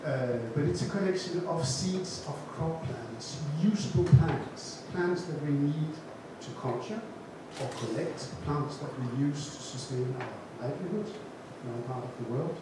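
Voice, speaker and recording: A man's voice: a little high, unhurried, few pauses, far from the mic, heavy background noise. Danish man speaking in an echoey hall. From Denmark. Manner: informal, self-correcting, with handheld mic. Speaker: gene bank speaker. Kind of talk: seminar talk to an audience. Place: large room with seated audience. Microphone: handheld mic, far camera. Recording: echoey room recorded from afar.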